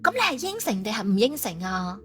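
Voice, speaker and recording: A woman's voice: medium pitch, very animated, brisk, a bit bright, faint music behind. A woman playing a stern, determined female agent in audiobook dialogue. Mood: firm.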